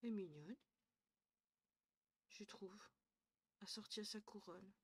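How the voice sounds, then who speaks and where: A young woman streaming from a small room at home, talking into her headset. She is tired and chatty, thinks out loud, swears lightly and often trails off into low murmurs. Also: a little slow, breathy edge. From northern France. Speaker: Twitch streamer. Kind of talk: casual live-stream chat with viewers.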